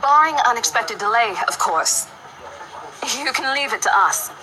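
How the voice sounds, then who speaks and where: A woman's voice: fairly high pitch, animated, normal speed, bright, forceful tone, slight background noise, rough recording. A woman playing a businesslike, courteous property agent in a visual novel, formal and reassuring. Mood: assured. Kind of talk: visual novel character dialogue.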